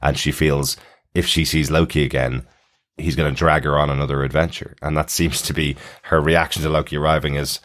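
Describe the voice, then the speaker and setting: A man's voice: very deep voice, level tone, a little fast, fairly bright tone. A man hosting a podcast, chatting casually and explaining his take on TV and listener feedback. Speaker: podcast host. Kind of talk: casual TV review chat, reading listener feedback.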